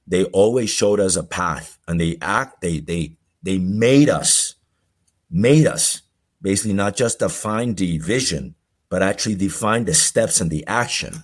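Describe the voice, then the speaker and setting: Male podcast guest, forties, deep voice, halting personal storyteller. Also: animated. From Colombia. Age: 40s.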